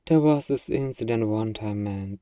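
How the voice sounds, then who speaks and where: A man voicing an eager, friendly, bumbling little demon in an intimate, playful ASMR roleplay, hesitant and coaxing with drawn-out hums. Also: fairly low pitch, mellow, no echo, studio quality, lightly acted. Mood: nervously reassuring.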